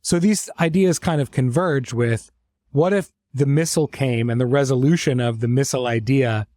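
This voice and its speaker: A man's voice: medium pitch, moderate pace, dark tone, no echo. Casual male podcast host, dry studio sound. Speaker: podcast host and series creator. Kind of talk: casual podcast introduction and conversation.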